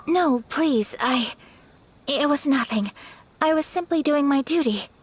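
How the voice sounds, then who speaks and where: An actress playing a young noble lady who speaks in a polite, formal, measured way in a video game. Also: high voice, a little hiss or hum.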